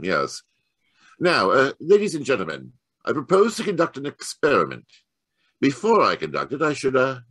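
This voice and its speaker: Guy acting old radio mystery detective, lowish voice, really animated, stammery and formal, dry sound. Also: husky, no echo, exaggerated voice. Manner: halting, stammering, then deliberate and formal. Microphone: home microphone on a video call.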